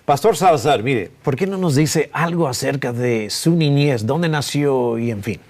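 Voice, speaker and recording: Affirming pastor interviewing a guest. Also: male voice, mid-range voice, expressive, a little slow, darkish tone. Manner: encouraging, frequent short affirmations. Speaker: pastor and TV host.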